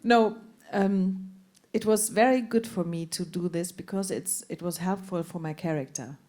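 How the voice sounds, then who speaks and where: A woman's voice: lowish voice, normal speed, mellow. Hesitant woman actress with lots of restarts. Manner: hesitant, laughing, many restarts. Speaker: actress at a festival panel. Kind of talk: panel talk.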